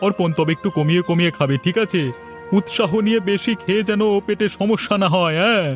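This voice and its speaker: High-voiced, exasperated cartoon dad played by a man. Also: fast talker, faint music behind, lightly acted. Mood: indulgent. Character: exasperated but indulgent father of a young boy. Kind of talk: Bangla cartoon voice acting.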